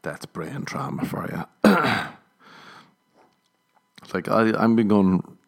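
A low-pitched man talks loosely on his podcast. He rambles from story to story, swears freely and breaks into laughter at his own lines. His delivery is casual and unscripted. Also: big pitch swings, slow, creaky. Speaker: comedian and podcast host. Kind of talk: casual comedic monologue. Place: living in Los Angeles.

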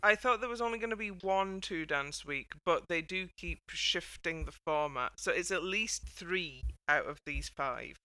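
A British woman hosts a casual panel chat in a very bright, sharp voice. Her delivery is wry and the sound is dry and close. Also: lowish voice, unhurried, hardly any pauses, dry recording. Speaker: podcast host.

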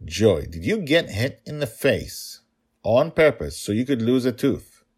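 A man acts out a stern pirate father from an audio storybook, husky and very animated. He is protective and worried, and scolds with weighty emphasis. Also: mid-range voice, measured pace, voice pushed up.

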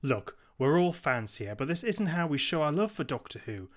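A man's voice: on the high side, big pitch swings, normal speed. A man co-hosting a podcast, jokey and self-mocking as he reads lines in a script read-through. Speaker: podcast host.

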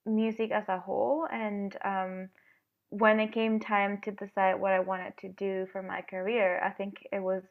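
A young woman from Venezuela, a flute player, answering interview questions in a hesitant way with many pauses and ums. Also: medium pitch, little pitch movement. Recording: phone-like narrow sound.

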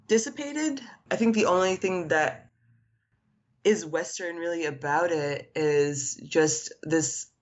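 A woman's voice: on the low side, highs cut off. A woman artist whose first language is Arabic, answering interview questions in an earnest, reflective way, with frequent "like" fillers. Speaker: artist guest.

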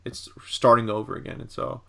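Guy cohosting a casual podcast, mid pitch, gravelly fry, kinda halting and rambly. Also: flat delivery, pushed and bright. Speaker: podcast co-host. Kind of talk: casual TV discussion.

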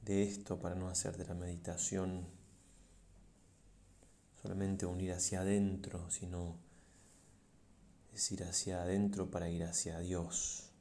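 Guy, priest doing a guided meditation, dark mellow voice, pretty flat and solemn, slight noise behind. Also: low-pitched. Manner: solemn, measured, pausing between short phrases. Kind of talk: slow reflective guided meditation.

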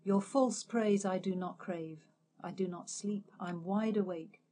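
A woman's voice: medium pitch, steady tone, measured pace, mellow. A woman speaking earnestly and articulately about translating poetry.

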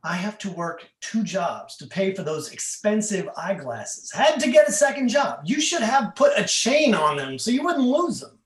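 A man playing a suspicious, chiding husband in a play reading, speaking pointedly and deliberately. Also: sits high, big pitch swings. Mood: scolding. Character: nagging, suspicious husband with quiet menace. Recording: echoey room.

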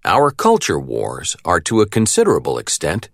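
A man reading a nonfiction audiobook as narrator, with a measured, articulate delivery. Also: sits low, wildly swooping pitch, vocal fry, fairly bright tone, no room sound, clean studio sound.

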